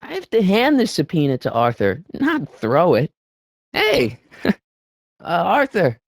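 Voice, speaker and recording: A nervy american teen boy for a game, stammers and mutters, super animated, dry sound. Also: high voice, takes it slowly, creaky, no room sound, full character voice. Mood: tentative, musing. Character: earnest, anxious American teenage boy adventurer. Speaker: voice actor. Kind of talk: video game character voice acting.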